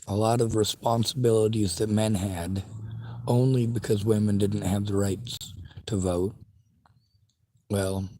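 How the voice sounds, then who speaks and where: A man's voice: on the low side, warm tone. A man livestreaming, reading viewer chat and speaking bluntly and earnestly in a casual monologue. He has a stutter and a speech impediment.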